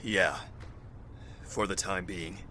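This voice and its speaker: A man playing a calm, guarded health official in a zombie outbreak audio drama, speaking in a measured, formal way. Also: low voice, slight background noise, full character voice. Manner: measured, formal, matter-of-fact.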